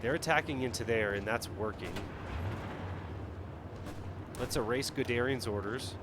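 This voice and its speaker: A man's voice: mid-range voice, measured pace, noisy background. A man streaming a strategy game live, talking casually and thinking aloud as he plays. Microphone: headset.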